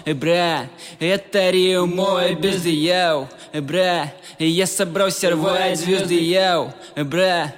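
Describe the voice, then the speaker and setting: Boastful young man rapping, flat delivery. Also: high voice, slow pace. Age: 20s. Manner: boastful rapping with yo and bro ad-libs. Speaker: rapper. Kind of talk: rap song.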